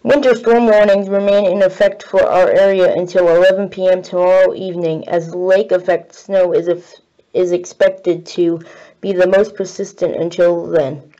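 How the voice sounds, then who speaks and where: A woman's voice: fairly low pitch, fairly even intonation, normal speed, mellow, lo-fi sound. A woman delivering a scripted weather forecast as a broadcast forecaster.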